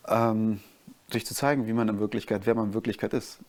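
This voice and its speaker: Young man, low and rather flat. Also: fairly quick. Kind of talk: interview talk.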